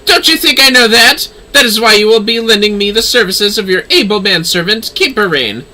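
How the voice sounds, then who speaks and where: A man's voice: sits high, lively intonation, fairly quick, bright, forceful tone, exaggerated voice, low-quality recording. A man voicing a haughty, stern young noblewoman astrologer from a game, formal and scolding. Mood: imperious. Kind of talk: game dialogue read-aloud.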